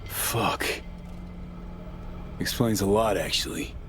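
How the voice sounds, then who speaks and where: A man's voice: on the low side, takes it slowly, a bit bright, soft background music. A man playing a tough, guarded street mercenary in a video game, speaking clipped, laconic lines over noisy ambience. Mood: wry, tense. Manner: clipped, dropped words, laconic. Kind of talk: cinematic video game acting.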